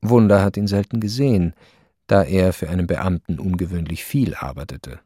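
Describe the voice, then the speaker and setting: A man narrating a radio play as a detached, precise storyteller, matter-of-fact and deadpan. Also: sits low, normal speed, husky, dry recording, clean studio sound.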